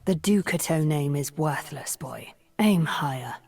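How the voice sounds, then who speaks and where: A woman's voice: low voice, lively intonation, darkish tone, full character voice. A woman playing a haughty, scheming sorceress in a video game, with cold menace and a whispery, imperious delivery. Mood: sly, haughty. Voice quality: breathy, whispery. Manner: slow, deliberate, imperious. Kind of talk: video game character voice lines.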